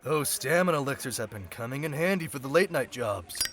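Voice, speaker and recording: A man's voice: medium pitch, animated, fairly quick, a bit bright, natural voice. A man playing a friendly, sociable guy in an audio roleplay, talking in a casual, chummy way. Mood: casual, curious.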